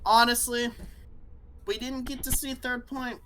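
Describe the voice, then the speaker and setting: Higher-voiced female esports caster. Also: little pitch movement, a bit bright, slight background noise. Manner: playful, quick reactions and jokes. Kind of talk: color commentary.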